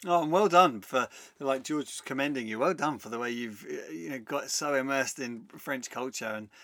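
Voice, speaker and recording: A man's voice: mid-range voice, moderate pace, dry recording. Affirming male podcast host, dry sound. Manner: affirming, gently wrapping up.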